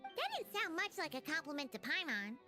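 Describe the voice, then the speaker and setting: A woman voicing a tiny, cheerful, childlike fairy companion with a squeaky, bubbly delivery in an anime game. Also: huge pitch swings, fairly quick, full character voice. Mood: doubtful. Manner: bubbly, speaks of self in third person. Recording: loud children's music behind.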